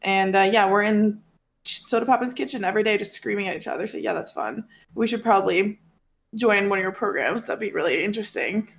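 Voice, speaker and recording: A woman's voice: mid-range voice, brisk, gravelly. A woman in her twenties from Norway, a native Norwegian speaker, chatting casually and candidly as a podcast co-host. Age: 20s. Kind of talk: casual chat.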